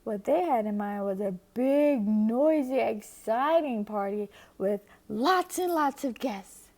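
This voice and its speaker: Gravelly woman reading a storybook. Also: on the high side, slow pace. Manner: expressive, lively narration. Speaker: storybook reader. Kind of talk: children's book read-aloud.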